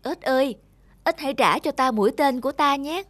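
A woman's voice: sits high, brisk, dry recording, voice pushed up, close to an everyday voice. A woman voicing an anxious, naive young prince in a storybook cartoon, hesitant and questioning. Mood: worried.

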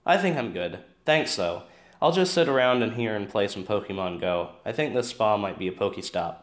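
A man's voice: fairly low pitch, lively intonation, moderate pace, close to an everyday voice. A man playing a dry, principled teenage boyfriend in an amateur audio drama. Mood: easygoing.

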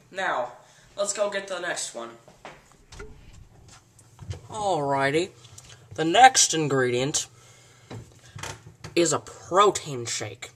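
A teenage boy hosts a joke cooking show in his home kitchen, walking through a silly recipe with straight-faced, earnest instructions. His voice is bright, and the camera mic catches it from a little way off, with the kitchen adding a roomy echo. A faint hum of household ambience sits underneath. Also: fairly high pitch. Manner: earnest instructional tone with absurd steps. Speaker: host of a home cooking parody. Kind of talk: deadpan mock cooking show. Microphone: camera mic across the kitchen. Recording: echoey kitchen, camera a bit far.

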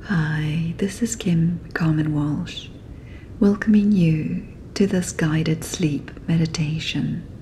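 Very slow, hushed woman guiding sleep meditation. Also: deep voice, slight background noise. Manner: soft, breathy, whispery, soothing. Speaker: guided meditation host. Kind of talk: guided sleep meditation narration.

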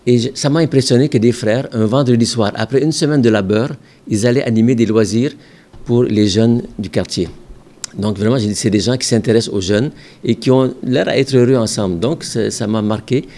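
An older man, a religious brother and school director from Ontario, Canada, calmly recalling his past in a measured, reflective way with some hesitations, seated at his office desk with a microphone in front. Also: medium pitch. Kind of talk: calm recollecting interview answers.

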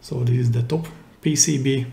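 A man giving a hands-on, step-by-step repair tutorial in simple, careful phrases with pauses mid-sentence, recorded indoors at a workbench. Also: medium pitch, fairly even intonation, vocal fry, dark tone. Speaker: DIY repair channel host. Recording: roomy, echoey indoor sound.

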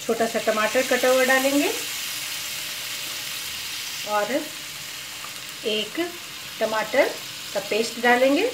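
A woman hosting her cooking channel, calmly talking through a recipe step by step and slipping English cooking words into Hindi. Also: fairly high pitch, takes it slowly, pauses often, roomy sound, background noise.

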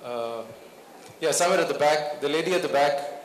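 A man moderating a stage panel, soft-spoken as he takes questions and closes the session. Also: a little high, moderate pace. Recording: echoey hall from a distance, crowd.

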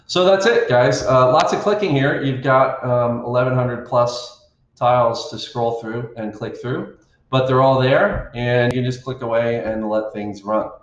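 A man's voice: mid-range voice, measured pace. An older man who works in state GIS explains a mapping app in a casual screen-share tutorial. He is plain-spoken and thinks aloud while he clicks. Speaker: state GIS staff presenter. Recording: roomy, slightly dull home recording.

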